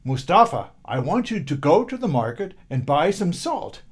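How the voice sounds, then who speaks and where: A man's voice: fairly high pitch, big pitch swings, moderate pace, breathy edge. A man doing a stern household master. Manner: firm, loud scolding.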